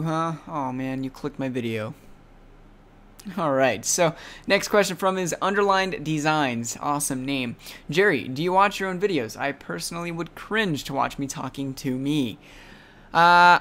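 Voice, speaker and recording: A man's voice: fairly high pitch, fairly bright tone. Chatty young man rambling through viewer questions. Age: young adult. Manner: rambling, upbeat, heavy use of "you know" and "like". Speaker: vlogger answering viewer questions. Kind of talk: casual vlog Q&A.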